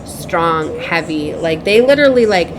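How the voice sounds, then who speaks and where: A woman with a fairly low voice delivers an off-the-cuff spiritual message. She circles back and repeats herself haltingly, with an earnest, cautioning manner. Clear ambient noise runs behind her voice. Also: animated. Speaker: online tarot reader. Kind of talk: improvised spiritual message to viewers.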